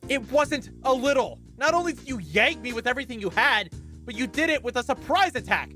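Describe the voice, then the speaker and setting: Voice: mid-range voice, big pitch swings, brisk, pushed and bright. A streamer voicing a panicky, indignant male game protagonist who is being bullied, reading the lines over music. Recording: music behind the voice.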